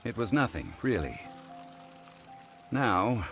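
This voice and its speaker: An actor playing a calm, learned, dignified consultant in a video game, speaking in a measured, formal way. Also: male voice, on the low side, faint music behind, full character voice. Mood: composed, instructive. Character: calm, learned, dignified male consultant steeped in ancient lore. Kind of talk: scripted video game dialogue.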